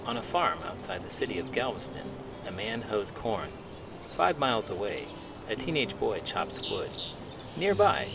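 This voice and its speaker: A man, a teacher, reading a children's book aloud in an expressive storytelling manner. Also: fairly low pitch. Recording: music playing behind.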